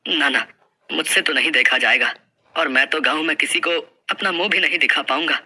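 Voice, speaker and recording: A man's voice: a little high, moderate pace, pushed and bright, breathy edge, exaggerated voice. A man playing a poor, proud village farmer, pleading and emotional in a dramatized folk story. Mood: anguished, firm.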